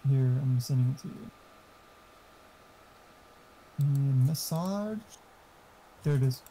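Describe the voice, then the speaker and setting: A man's voice: medium pitch, animated, takes it slowly, dark tone, a little hiss or hum, low-quality recording. A man chatting casually and giving tech advice in a blunt, explanatory, sweary way. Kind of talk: casual chat. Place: online voice chat.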